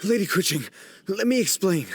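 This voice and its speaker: A man's voice: high-pitched, very animated, normal speed, husky, heavily acted. A man playing an anxious, deferential fireworks organiser, earnest and pleading, in a video game. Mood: worried, explaining. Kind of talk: video game cutscene voice acting.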